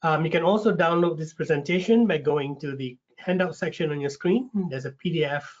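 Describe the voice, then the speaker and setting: A man with a slight rasp from a cold hosts an online info session, friendly, with lots of ums and restarts. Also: a little high, brisk, a little mellow. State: getting over a cold, a bit raspy. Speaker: webinar host, recruitment manager. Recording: dull lo-fi webinar audio.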